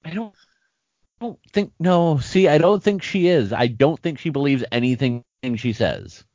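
A man co-hosting a podcast in casual chat, joking, animated, often restarting his sentences. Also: a little high, normal speed, no room sound. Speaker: podcast co-host. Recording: dry, dull lo-fi sound.

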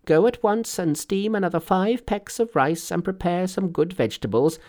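A man's voice: high-pitched, moderate pace, darkish tone, pitched above the performer's own voice, close to an everyday voice. A man voicing a cheeky, fearless male monkey hero from a storybook, brash, bantering and self-assured. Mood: bold. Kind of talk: storybook character voice.

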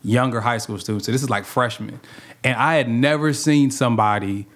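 A man with a mid-pitched voice talks in an informal, explanatory way. He sounds like someone in a casual group discussion, dropping in conversational asides as he makes his point. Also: a little fast, fairly bright tone. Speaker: youth ministry worker.

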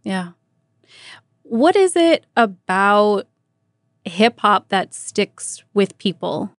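An American woman with a mid-pitched voice speaks as a radio interviewer. Her way of talking is casual and conversational, dotted with "like". The recording is dry and studio-close. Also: very animated, extremely slow, no room sound. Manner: casual, with "like" often thrown in.

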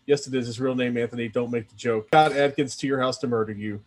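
A man's voice: mid-range voice, steady tone, fast talker. A man talking casually on a podcast, rambling a little with dry humor. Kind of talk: casual podcast plug with joking asides.

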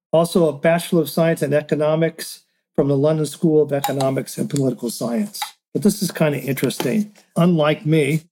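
A man's voice: on the high side, warm tone. A man hosting a podcast, introducing and interviewing a guest. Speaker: podcast host.

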